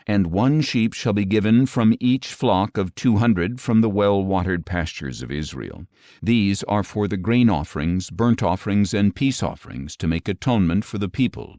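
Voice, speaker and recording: Deep male bible narrator, solemn and measured, really dry close studio sound. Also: darkish tone, no room sound. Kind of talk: scripted scripture reading.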